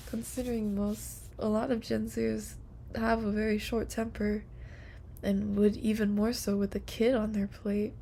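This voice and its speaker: A young woman streamer chatting softly and casually to viewers, with a whispery, giggly, playful manner. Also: on the high side, vocal fry, a little hiss or hum. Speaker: live streamer. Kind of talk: soft, casual sleep-stream chat with viewers.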